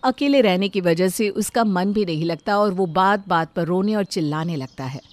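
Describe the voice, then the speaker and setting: A woman narrating a moral family story in a steady storytelling manner. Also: on the low side, normal speed, dry recording.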